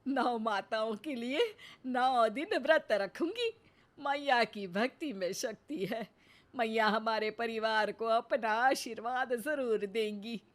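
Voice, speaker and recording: A greedy, stubborn old mother-in-law for a cartoon. She is bossy and teasing, pitched a little high and slow in delivery. The voice leans theatrical. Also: animated. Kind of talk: cartoon voice acting.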